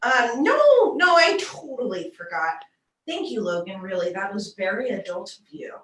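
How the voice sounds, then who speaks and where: A woman's voice: mid-range voice, big pitch swings, moderate pace, a bit bright, roomy sound. A woman playing a distracted, evasive but affectionate mother in a script table read, hesitant and reassuring.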